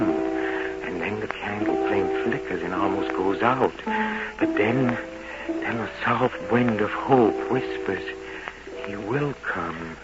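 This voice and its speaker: An actor playing a tipsy, jealous, brooding man bent on revenge in an old-time radio drama, speaking in halting, repetitive, theatrical phrases. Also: fairly low pitch. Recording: dull old radio recording, music behind.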